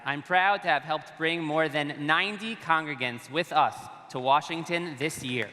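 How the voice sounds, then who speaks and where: A man, a rabbi, giving formal prepared remarks to a conference with steady delivery. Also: on the high side, moderate pace, some chatter behind, slight background noise. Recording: long reverb of a large hall.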